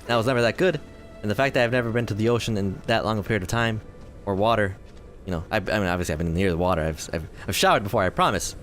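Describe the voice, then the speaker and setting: A man in his twenties giving rambling, self-deprecating commentary while playing a game, his voice tired and a little hoarse. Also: lowish voice, racing, a bit bright, soft background music. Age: 20s. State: voice tiring, slightly hoarse. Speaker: gaming video host. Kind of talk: casual let's-play commentary.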